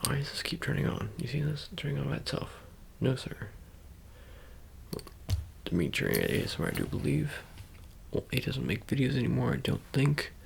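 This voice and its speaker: A man doing an ASMR roleplay, talking casually to the viewer in a halting, rambling, self-correcting way. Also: medium pitch, lively intonation, gravelly, slight background noise. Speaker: ASMR creator.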